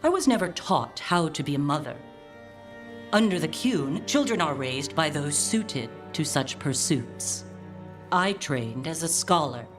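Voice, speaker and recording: A woman's voice: low voice, animated. Stern, formal scholar mother over music. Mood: cool, stern. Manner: formal, measured, clipped diction without warmth. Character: stern, proud middle-aged scholar mother, critical and restrained. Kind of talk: video game dialogue acting.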